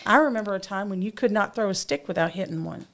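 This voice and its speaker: An older woman hosting a casual talk show, chatty and quick to laugh. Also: mid-range voice, fairly bright tone, a little hiss or hum. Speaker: host.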